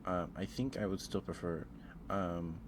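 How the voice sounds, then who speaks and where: Low slow guy rambling on an asmr stream, kinda hesitant, repeats himself, noisy background. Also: fairly even intonation. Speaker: live streamer. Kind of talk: ASMR, casual rambling chat.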